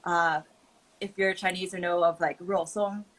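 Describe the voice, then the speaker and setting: A woman's voice: on the low side, steady tone, a little slow, a crowd in the background, low-quality recording. Earnest woman from Oakland, Mandarin native. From Oakland, California. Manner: earnest storytelling, frequent fillers. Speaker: guest. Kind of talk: casual group chat.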